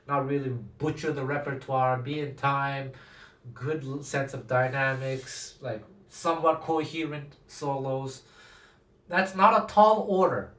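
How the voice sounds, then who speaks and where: Guitar teacher guy, first language taiwanese, kinda high voice, rambles w lots of fillers, sounds a bit far off. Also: a bit bright. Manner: rambling, thinking aloud with many fillers and restarts. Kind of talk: casual talk to camera. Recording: echoey room, mic at a distance.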